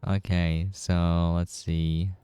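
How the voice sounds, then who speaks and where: A man's voice: sits low, level tone, very slow, warm tone, smooth, no echo. A man giving casual, offhand and somewhat critical commentary while playing through a game. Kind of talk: casual let's-play commentary.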